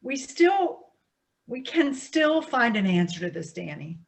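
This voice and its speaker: A woman's voice: on the high side, sing-song, unhurried. A woman acting in a staged play reading as a defensive, embittered divorcee with an evasive, formal way of talking. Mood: defensive, uneasy.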